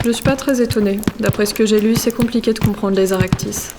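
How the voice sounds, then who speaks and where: A woman's voice: mid-range voice, steady tone, fast talker, natural voice. A woman playing a curious, tactful, sensitive insect adventurer with a polite, slightly hesitant manner in an audio drama. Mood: casual. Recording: faint background noise.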